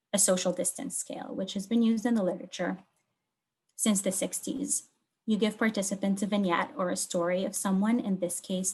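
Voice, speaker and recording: Woman academic, mid-pitched, from Lebanon. Presents research in measured, deliberately phrased sections with key words stressed. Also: darkish tone. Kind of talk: explanatory research talk with slides.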